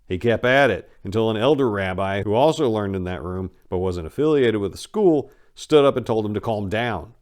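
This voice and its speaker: A man's voice: fairly low pitch, animated, normal speed, few pauses, a little mellow. A man narrating a first-person memoir as a dramatic reading, with emphatic stresses and asides that trail off.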